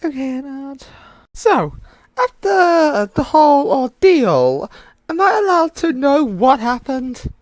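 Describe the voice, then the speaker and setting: A woman's voice: high voice, sing-song, takes it slowly, no room sound, pitched above the performer's own voice, full character voice. A woman voicing a bossy, nosy girl animatronic in an amateur comic dub, speaking in an insistent, curt way. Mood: impatient.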